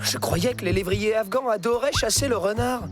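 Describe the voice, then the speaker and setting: A woman's voice: on the high side, normal speed, natural voice. A woman voicing a cocky, scheming male fox in a French cartoon, cheeky and self-assured. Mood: quick-witted. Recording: loud music behind the voice.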